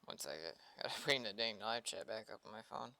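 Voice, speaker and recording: American male streamer, bright and forward voice on the low side, informal, thinking out loud on a close mic. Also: animated, fairly quick, vocal fry. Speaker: game developer livestreaming. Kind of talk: casual livestream chat, thinking aloud while coding.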